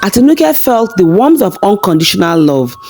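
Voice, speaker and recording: A woman's voice: mid-range voice, big pitch swings, normal speed, a little mellow, slight background noise. A woman narrating a scripted folktale as a storyteller.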